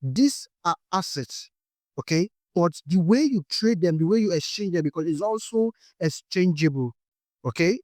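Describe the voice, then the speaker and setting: A man's voice: high voice, lively intonation, normal speed, darkish tone, dry recording, studio quality. Nigerian man teaching, saying "okay?" often. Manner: explains step by step, tags lines with "okay?". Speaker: forex trading instructor. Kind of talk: explanatory course lesson.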